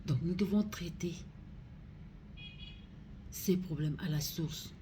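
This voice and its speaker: A woman with a Cameroonian accent delivers a solemn public appeal in a low, mellow voice. She speaks very slowly and gravely, pleading in deliberate phrases, with a little noise in the recording. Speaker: regional councillor making a public appeal.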